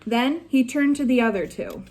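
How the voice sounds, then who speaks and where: A woman reading a children's chapter book aloud in a slow, even storytelling manner. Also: sits high, a little hiss or hum, low-quality recording. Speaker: staff reader.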